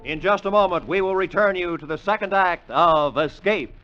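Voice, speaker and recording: A man's voice: high voice, moderate pace, music in the background. A man with an American accent announcing a wry, playful promo in 1950s radio style. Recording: dull vintage radio recording.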